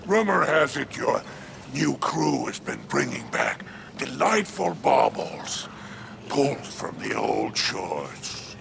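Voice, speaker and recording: Gravelly, scheming alien crime boss. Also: male voice, medium pitch, huge pitch swings, takes it slowly, background noise, full character voice. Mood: oily persuasion. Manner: wheedling, florid, smug drawl. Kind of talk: video game cutscene voice acting.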